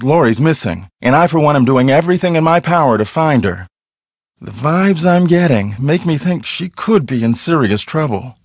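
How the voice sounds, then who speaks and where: An actor playing an earnest, self-serious American paranormal investigator in a video game, explaining things intensely. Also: male voice, medium pitch, dry recording, studio quality.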